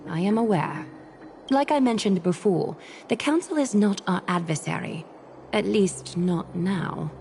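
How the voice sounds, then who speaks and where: A woman voicing a noble demigod ruler in a video game, composed, stern and resolute, with formal, measured delivery. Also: medium pitch, lively intonation, vocal fry, darkish tone, slight background noise. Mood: calm. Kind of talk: game voice acting.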